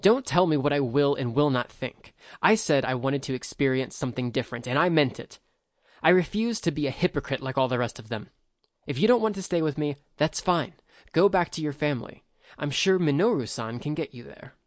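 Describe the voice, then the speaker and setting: A man reads out a fiery young prince, voice pitched up and pushed louder than the narration, insistent and defiant. He argues from clear ideals, in a dry, close reading. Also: lively intonation, fairly quick, dry recording, natural voice.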